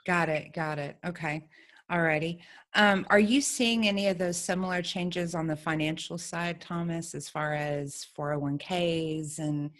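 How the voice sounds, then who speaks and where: A woman hosting an interview in a casual style, putting questions to her guests. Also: low voice. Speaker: interview host.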